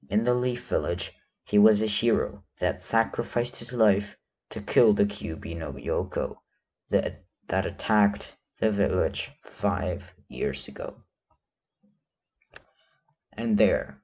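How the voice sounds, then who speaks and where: A man reading a story aloud as a narrator, a little halting in his delivery. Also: fairly low pitch, darkish tone. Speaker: fan fiction reader.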